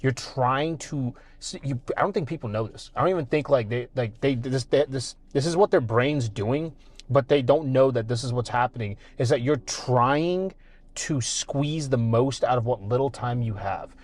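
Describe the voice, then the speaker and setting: A man's voice: medium pitch, normal speed. A man co-hosting a casual podcast, emphatic and repetitive, swearing often. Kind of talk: casual chat.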